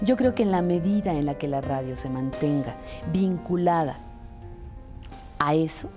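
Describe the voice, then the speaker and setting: A middle-aged woman with a Mexican accent speaking reflectively in an interview, deliberate and expressive, pausing for emphasis. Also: sits low, music in the background. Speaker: radio presenter. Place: furnished indoor sitting room. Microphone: lapel mic.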